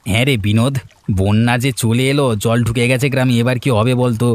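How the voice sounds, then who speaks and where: A man voicing a fast-talking cartoon villager. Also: medium pitch, steady tone, close to an everyday voice. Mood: eager. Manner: chatty, eager, quick. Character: friendly village man, eager and helpful. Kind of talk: cartoon voice acting.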